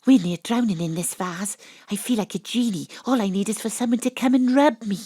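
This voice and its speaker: A woman's voice: mid-range voice, brisk, mellow, husky, no echo, studio quality, lightly acted. A woman playing a tipsy amateur investigator in an audio drama, narrating in a wry, bawdy, theatrical way. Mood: wry storytelling. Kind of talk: audio drama, first-person narration and dialogue. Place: home studio, dry close sound.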